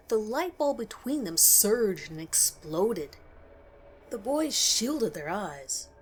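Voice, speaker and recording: A woman reading a scripted scary story as narrator, in a measured, dramatic way with pauses. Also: medium pitch, a little hiss or hum.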